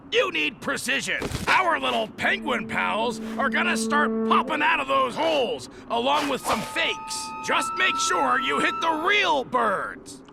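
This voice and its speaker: High, creaky, boastful cartoon strongman. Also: noisy background, heavily acted. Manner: pompous, speaks of himself in third person. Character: big, boastful, goofy male cartoon strongman coach. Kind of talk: cartoon game voice acting. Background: music.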